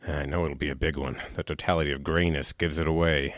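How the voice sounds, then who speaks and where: An actor playing a brooding, self-assured man in a radio drama, with a measured, poetic delivery. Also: a bass voice, flat delivery, no room sound, studio quality. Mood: brooding, hushed. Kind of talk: radio drama acting with narrated inner monologue.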